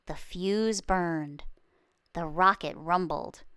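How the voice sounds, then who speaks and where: A woman reading a children's story aloud expressively, with dramatic pauses and emphasis. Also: lowish voice, a bit bright, clear, resonant tone, no echo, studio quality. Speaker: children's book reader.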